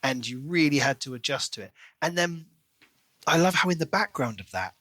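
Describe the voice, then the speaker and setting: Bright-voiced man, enthusiastic book podcaster. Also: fairly high pitch, normal speed, no room sound. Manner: enthusiastic, expressive, acts out quoted lines. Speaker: podcast co-host. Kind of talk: casual book discussion.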